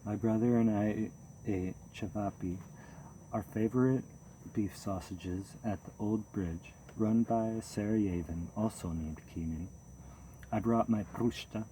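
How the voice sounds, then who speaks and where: A man narrating a first-person memoir audiobook in a measured, steady storytelling manner. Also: fairly low pitch, a little hiss or hum, low-quality recording.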